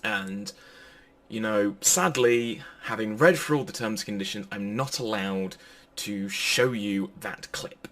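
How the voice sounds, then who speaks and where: A man's voice: fairly low pitch, unhurried, fairly bright tone. A man giving an informal, pre-recorded sermon in a chatty, jokey manner. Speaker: preacher.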